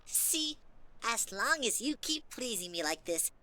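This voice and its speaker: A woman's voice: fairly high pitch, sing-song, unhurried, pushed and bright, full character voice. A woman voicing a small male talking flower in an audio drama, sing-song and falsely sweet, with menace under the friendliness. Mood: mock sweet. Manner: sing-song mock friendliness, taunting, swinging into threats. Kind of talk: scripted audio drama acting.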